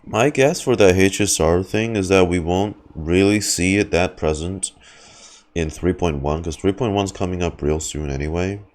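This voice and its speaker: A man's voice: deep voice, darkish tone. A man giving casual commentary as he streams a video game and reacts to it.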